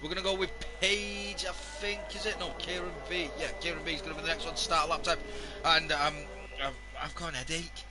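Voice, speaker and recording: A man commentating a live sim racing stream, hoarse and losing his voice, joking wearily with the chat. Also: a little high, steady tone, brisk, noisy background. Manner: weary, self-mocking banter with the chat.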